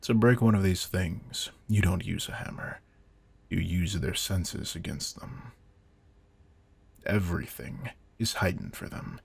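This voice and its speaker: A man playing a veteran vampire hunter in an audio drama, a controlled, intense mentor who speaks in a deliberate, commanding, quietly menacing way. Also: sits low, expressive, full character voice. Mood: low, ominous. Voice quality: gravelly, husky. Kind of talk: scripted roleplay audio drama.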